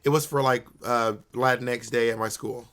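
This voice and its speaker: A man's voice: mid-range voice, fairly even intonation, moderate pace, crowd noise. A man co-hosting a podcast in casual chat, with a teasing, sassy way of talking. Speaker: podcast co-host.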